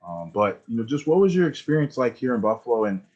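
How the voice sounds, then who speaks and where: A man's voice: mid-range voice, big pitch swings, fast, darkish tone. A man asking a guest questions in a hesitant way, with many fillers. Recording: dull lo-fi audio.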